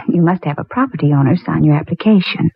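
Casting: coy, composed young female librarian, 1940s radio drama, slightly husky voice, phone-thin old recording. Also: sits low, brisk, heavily acted. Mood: polite, firm. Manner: composed, polite, gently teasing. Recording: tinny, narrow-band 1940s radio recording.

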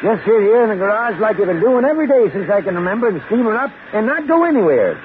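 A man's voice: sits high, sing-song, fast talker. An actor playing a skeptical, teasing, folksy sidekick who needles his friend in a 1950s radio drama. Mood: skeptical, nagging. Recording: noisy, narrow-band 1950s radio recording.

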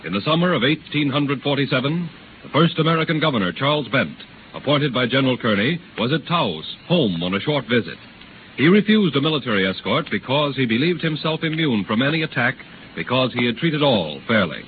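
A man's voice: medium pitch, sing-song, fairly quick, background noise. Casting: male 1930s radio narrator, solemn oratory with sweeping intonation, thin narrow-band sound. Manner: solemn, declamatory.

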